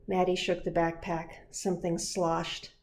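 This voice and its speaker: A woman pastor reading a children's picture book aloud in an expressive storytelling style. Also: on the low side, measured pace, slight background noise.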